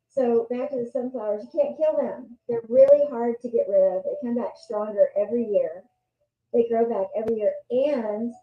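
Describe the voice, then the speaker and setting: A middle-aged woman from West Texas with a West Texas accent, hosting a casual faith talk in a chatty, rambling, earnest way. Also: on the high side. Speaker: host. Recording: echoey room, dull lo-fi sound.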